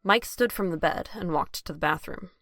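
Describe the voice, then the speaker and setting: A woman's voice: fairly low pitch, moderate pace, creaky, a bit bright, no room sound, clean studio sound. A woman reading scripted narration for an audio drama.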